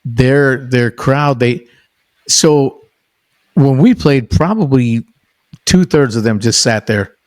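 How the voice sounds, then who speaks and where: A man's voice: medium pitch, takes it slowly, long pauses, dry recording. A man in his sixties hosting a podcast, chatting with a guest in a chummy, eager way. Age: 60s. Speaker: podcast host. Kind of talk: casual interview chat.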